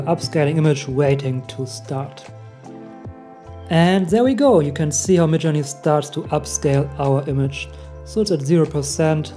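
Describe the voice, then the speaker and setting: A man in his thirties from Germany with a German accent, walking beginners through a software setup step by step in a friendly way. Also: a little high. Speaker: tech tutorial host. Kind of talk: screen-recorded software tutorial.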